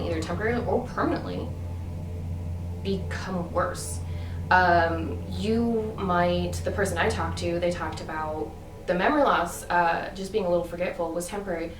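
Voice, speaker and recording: A woman's voice: medium pitch, echoey room, distant mic, noisy background. A woman in her thirties talking earnestly and emphatically in a casual monologue, with many fillers. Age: 30s. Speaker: vlogger.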